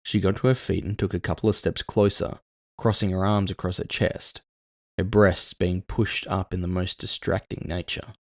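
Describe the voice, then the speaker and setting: A male narrator reads first-person fiction with a measured, brooding delivery. His voice sits low, with a gravelly creak, and the recording is close and dry. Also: little pitch movement, no room sound.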